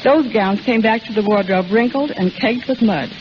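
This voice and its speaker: A woman's voice: on the high side, normal speed. Woman guest on muffled vintage radio. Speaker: studio wardrobe mistress, radio guest. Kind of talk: scripted 1930s radio testimonial. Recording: noisy, dull old 1930s radio recording.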